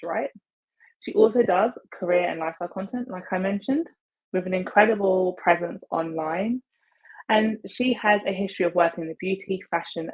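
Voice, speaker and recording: A woman's voice: mid-range voice, narrow, tinny audio. A woman hosting a career podcast, chatting enthusiastically with her guest over a video call. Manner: enthusiastic, effusive. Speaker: podcast host. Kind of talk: casual interview chat. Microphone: earbuds on a video call.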